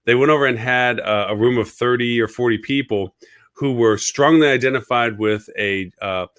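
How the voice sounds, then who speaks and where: A man's voice: medium pitch, normal speed, a bit bright, clean tone, dry recording. A man co-hosting a live marketing show, talking casually and repeating words as he reasons things out. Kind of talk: casual live-stream discussion.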